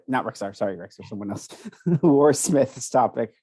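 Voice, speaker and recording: A young man co-hosting a casual chat, rambling and halting with many restarts, still sniffly from a cold. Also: medium pitch, lively intonation, dark tone, husky, dry recording, studio quality. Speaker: podcast co-host.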